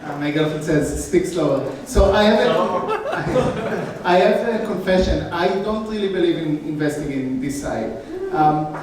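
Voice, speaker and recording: High-voiced Israeli man, playful contrarian. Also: animated, a little slow, crowd noise. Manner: self-deprecating, contrarian, laughs often. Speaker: investor on a panel. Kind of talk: casual panel talk. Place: conference panel stage. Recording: roomy hall, distant mic, faint hum.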